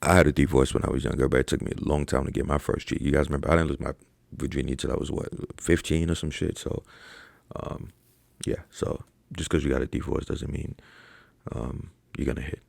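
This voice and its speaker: A young man with an extremely low voice full of heavy vocal fry ranks things to camera in loose, profane slang. He peppers his talk with fillers and "right?" tags. The sound is dry, with no room echo. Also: steady tone, brisk, a little mellow. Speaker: online fitness coach. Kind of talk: casual ranking commentary to camera.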